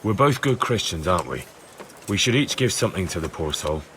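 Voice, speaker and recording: A man's voice: sits low, big pitch swings, fairly quick, fairly bright tone, slight background noise. A young man voicing an eager, earnest trainee town guard in a medieval video game, speaking plainly. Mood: reasoning. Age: young adult. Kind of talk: dramatic game cutscene dialogue.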